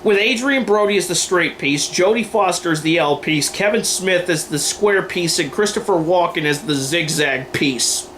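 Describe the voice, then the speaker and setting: High-pitched man giving emphatic opinions. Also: normal speed, few pauses, fairly bright tone, slight background noise. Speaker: video host and aspiring filmmaker. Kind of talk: opinion commentary to camera, semi-scripted list.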